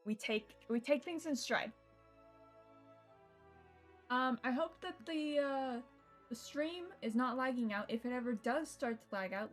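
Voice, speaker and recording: Young woman streamer chatting, music behind. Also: a little high. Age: young adult. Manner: informal, sweary. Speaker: livestreamer. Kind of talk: casual chat to stream viewers while setting up a game. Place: indoors at home computer setup. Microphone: streaming mic with noise gate.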